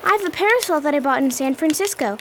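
Woman as a plucky, high-voiced little girl. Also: lively intonation, fast talker, noisy background. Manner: earnest, matter-of-fact. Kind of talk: radio play acting.

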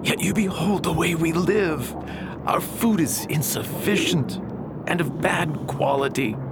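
A slow, fairly high-voiced older gentleman played by a male actor declaims like a Victorian alchemist in a radio play. He is proud, obsessed and embittered, speaking in grand old-fashioned phrases. There is clear rumbling noise behind him. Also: lightly acted. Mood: brooding. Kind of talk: theatrical radio drama acting.

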